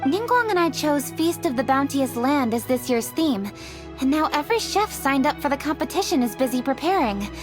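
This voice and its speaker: An actress voicing a brisk, analytical young woman leader in a game, speaking crisply and matter-of-factly. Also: high-pitched, big pitch swings, over music. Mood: businesslike. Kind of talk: video game cutscene voice acting.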